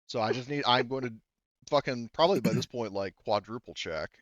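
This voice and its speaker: A man's voice: mid-range voice, fairly quick, dry recording. A man chatting casually and informally as game master of an online tabletop game, swearing freely. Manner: informal, profane.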